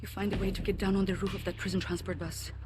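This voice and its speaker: An actress playing a calm, resourceful resistance woman speaking directly in a game cutscene. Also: mid-range voice, fast talker, background noise, natural voice. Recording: game cutscene audio over music.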